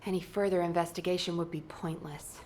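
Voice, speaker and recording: A woman's voice: fairly low pitch, steady tone, brisk, darkish tone, a little hiss or hum, lightly acted. A woman playing a composed, authoritative military squad leader in a video game. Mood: brisk, formal.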